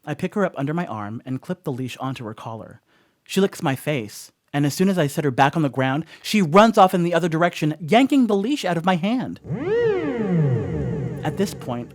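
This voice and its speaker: An actor playing a rattled, rambling stoner dog sitter in a radio play monologue, telling a story conversationally with wry asides. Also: male voice, fairly high pitch, lively intonation, fairly quick, a little hiss or hum, lightly acted. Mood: flustered, animated.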